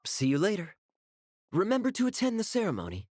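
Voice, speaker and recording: A smooth, teasing game knight. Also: male voice, sits high, wildly swooping pitch, fairly quick, a little mellow, a little hiss or hum. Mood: sly amusement. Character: suave, teasing male cavalry knight, amused and sly. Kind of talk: video game character dialogue.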